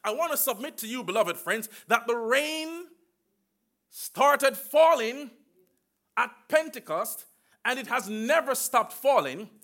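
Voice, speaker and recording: A man preaching a sermon with emphatic call-and-response and slow, drawn-out phrases. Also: extremely high pitch, animated, fairly bright tone.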